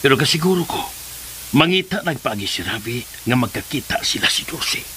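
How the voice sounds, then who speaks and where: A man's voice: mid-range voice, very animated, brisk, close to an everyday voice. A man with a Cebuano accent playing an earnest, probing middle-aged father in a radio drama. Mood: calm, assured. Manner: insistent, questioning. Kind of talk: Filipino radio drama acting. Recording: music under the dialogue.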